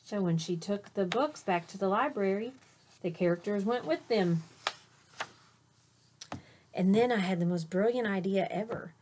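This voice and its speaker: Female storytime teacher, medium pitch, expressive, dramatic, chatty with young listeners. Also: a little slow, pauses often, slight background noise. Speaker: teacher reading aloud to children. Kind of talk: expressive children's picture-book read-aloud.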